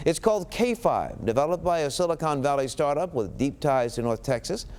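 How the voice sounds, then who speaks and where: A man's voice: on the high side, animated, a little fast, darkish tone, clear, resonant tone. A man with an American accent reading local television news as an anchor.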